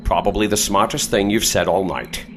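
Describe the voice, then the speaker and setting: An actor playing an imposing, condescending gentleman commander in an audio drama, with dry sarcasm and ornate old-fashioned wording. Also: low-pitched, normal speed, background noise, close to an everyday voice. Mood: mocking. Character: imposing, sardonic gentleman commander in top hat.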